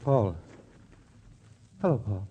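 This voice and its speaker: An actor playing a tense, possessive brother in a vintage radio drama, protective and agitated. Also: male voice, on the low side, expressive, takes it slowly, breathy edge, phone-quality sound, heavily acted. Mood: subdued, uneasy.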